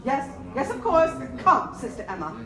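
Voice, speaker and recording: A woman's voice: high voice, wildly swooping pitch, moderate pace. A woman playing a domineering, impatient sister with a secret in a live radio drama, speaking in curt commands. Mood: brisk. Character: bossy, snappish sister hiding a dark secret. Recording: echoey lobby from far off, crowd noise.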